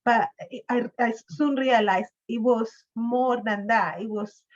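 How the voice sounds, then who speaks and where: A woman in her fifties hosting a conversational interview, halting and often repeating her words. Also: fairly high pitch, dull audio. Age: 50s. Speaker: host, interviewer.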